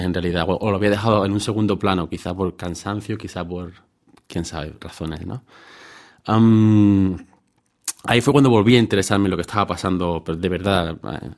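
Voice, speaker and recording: A man from Seville in his thirties, a guest researcher answering interview questions thoughtfully, pausing and restarting as he thinks. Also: sits low, darkish tone. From Spain, Seville. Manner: hesitant, many fillers and restarts while thinking aloud.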